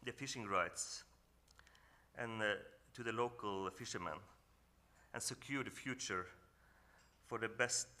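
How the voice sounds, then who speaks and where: A man from northern Norway with a Norwegian accent gives an earnest, reflective talk on a panel, often pausing and restarting as he searches for English words. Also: lowish voice, pushed and bright. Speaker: panel speaker. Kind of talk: reflective presentation at a symposium.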